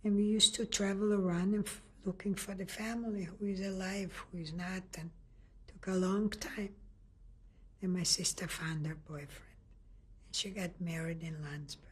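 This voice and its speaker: An older woman from Lithuania giving an oral history testimony in a halting way, often restarting and searching for words. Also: on the low side, fairly even intonation, fairly bright tone, husky. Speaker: interviewee.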